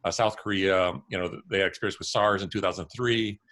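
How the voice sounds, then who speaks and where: Man, panel speaker, low voice, loose conversational delivery with repeated words. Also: fairly even intonation, a little fast, fairly bright tone. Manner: conversational, repeats words, uses "you know" and "I mean". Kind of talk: panel discussion.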